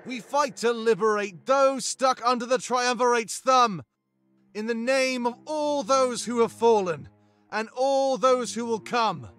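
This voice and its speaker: Man acting a youthful rebel leader, high-pitched for a male, earnest, confident, courteous, fantasy game hero. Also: dry recording, lightly acted. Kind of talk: character voice in visual novel read-aloud.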